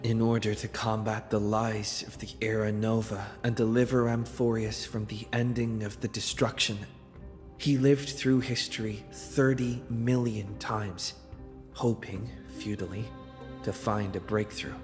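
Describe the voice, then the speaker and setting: Guy doing an earnest careful game hero, slow n solemn, long reverb with music going. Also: fairly low pitch, flat delivery, natural voice. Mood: somber, earnest. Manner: measured, solemn storytelling. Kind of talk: dramatic scripted game acting.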